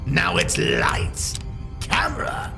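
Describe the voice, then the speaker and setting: A man voicing a gleeful, sadistic clown villain in a comic dub, theatrical and taunting, with a gravelly voice. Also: high-pitched, wildly swooping pitch, slow pace, exaggerated voice. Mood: gleeful menace. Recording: echoey, with loud music behind.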